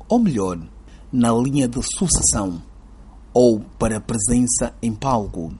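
A man reading scripted news narration in a measured, solemn way. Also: medium pitch, dark tone, husky.

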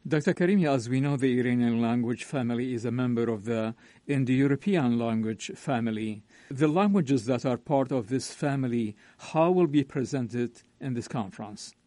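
A man hosting a radio interview, asking formal, measured questions. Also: medium pitch, warm tone, no room sound.

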